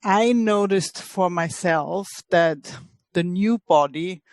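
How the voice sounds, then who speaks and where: A woman's voice: fairly low pitch, slow pace, darkish tone, no echo. Chatty Austrian woman, dry close sound. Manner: enthusiastic, chatty, self-mocking. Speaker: participant asking a question. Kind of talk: eager question in a webinar.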